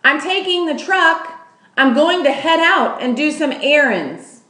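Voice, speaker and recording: A woman reading a storybook as a loud, brash, bullying woman who talks in blunt shouts. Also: high voice, lively intonation, measured pace, voice pushed up, exaggerated voice. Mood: bellowing. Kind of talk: children's storybook character voice. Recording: echoey room.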